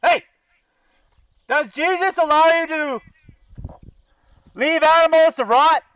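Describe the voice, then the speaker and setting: Canadian male activist, extremely high-pitched voice through a bullhorn, dry and echo-free outdoors. Confrontational, sarcastic, profane protest delivery. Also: takes it slowly, a bit bright. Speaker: animal-welfare activist. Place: outdoors by a zoo fence.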